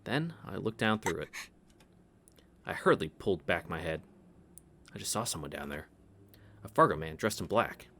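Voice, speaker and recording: A man's voice: low-pitched, animated, moderate pace, long pauses, bright, forceful tone, slight background noise. A man reading a visual novel aloud as a let's-play host, breaking off into casual asides.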